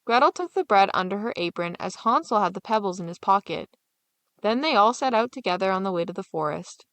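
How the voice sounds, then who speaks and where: A woman's voice: medium pitch, animated, fairly quick, a bit bright, no room sound. A woman storyteller reading a scripted fairy tale as narration.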